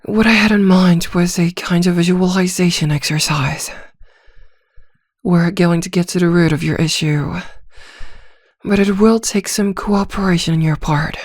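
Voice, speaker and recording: A woman playing a vampire posing as a therapist in an ASMR roleplay, speaking softly and soothingly with quiet command, often near a whisper, close to the mic. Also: on the low side, fairly even intonation, unhurried, no echo. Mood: soothing, coaxing. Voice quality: soft, breathy, often slipping into whisper. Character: female vampire posing as a calm, teasing, controlling therapist. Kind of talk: ASMR roleplay, slow guided hypnosis. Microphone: close microphone, dry.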